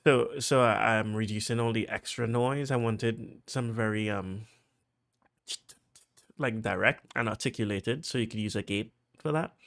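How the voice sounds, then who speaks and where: A man with a Trinidadian accent casually talking through how he produced a track, chatty and full of fillers, getting excited as he goes. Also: fairly low pitch, measured pace, vocal fry. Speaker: music producer.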